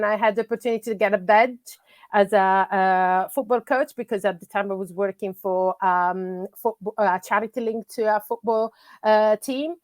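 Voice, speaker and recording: A middle-aged woman with an Italian accent chats in a podcast interview. She pauses often with fillers and restarts her sentences. Her voice sits at an ordinary pitch and sounds dry and close. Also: dry recording. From Italy. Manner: hesitant, many fillers and restarts. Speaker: soccer coach.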